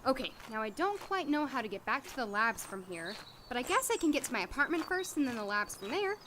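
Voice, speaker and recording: A woman's voice: high voice, sing-song, fast talker, pushed and bright, slight background noise, exaggerated voice. A woman playing a blunt, idealistic doctor in an audio drama, with natural, conversational delivery. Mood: musing, determined.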